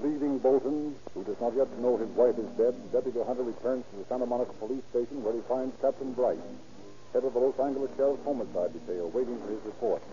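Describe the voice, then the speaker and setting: Casting: male narrator, medium pitch, formal factual crime-story delivery, dull and clearly noisy vintage radio recording. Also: fast, dark tone.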